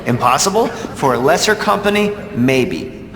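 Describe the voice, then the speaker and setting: A man's voice: a little high, expressive, a little slow, fairly bright tone. A man voicing a smug, ambitious corporate executive in a video game, with a polished, arrogant salesman's delivery. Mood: confident, showy. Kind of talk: video game cutscene acting. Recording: echoey hall, faint background noise.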